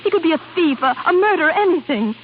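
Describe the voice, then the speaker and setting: A woman's voice: very high-pitched, expressive, fast talker. A woman playing a temperamental, lovesick young opera diva with dramatic, emotional 1930s radio acting. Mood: lovesick, miserable. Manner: dramatic, emotional, breathlessly repeating words. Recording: muffled, noisy 1930s radio broadcast.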